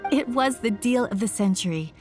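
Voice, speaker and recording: A woman playing a polite, earnest professional from a craft business in a video game, friendly and expressive. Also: on the high side, normal speed, natural voice. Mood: impressed. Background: background music.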